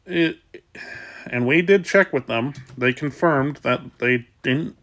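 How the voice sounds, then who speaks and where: Casual vlogger, male, congested and sniffly, soft rambling delivery, mid pitch. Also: slow. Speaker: kitten rescuer. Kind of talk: casual vlog talk.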